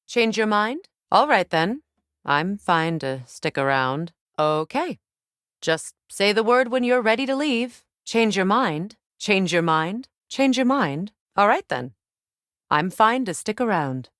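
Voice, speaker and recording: A woman playing a composed, commanding Norse goddess warrior in a video game, speaking in a measured, deliberate way. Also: on the low side, no echo, studio quality. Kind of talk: game companion voice lines.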